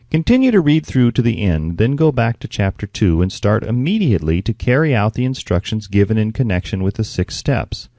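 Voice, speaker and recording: Mellow, low-voiced man narrating with lively intonation. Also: dry recording. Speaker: audiobook narrator. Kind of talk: scripted narration of a self-help book.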